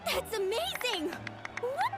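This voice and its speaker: A woman's voice: extremely high pitch, very animated, slow, full character voice. A woman voicing a plucky, eager girlish contestant in a video game. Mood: elated. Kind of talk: animated video game voice acting. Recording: loud music bed under dialogue. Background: game music.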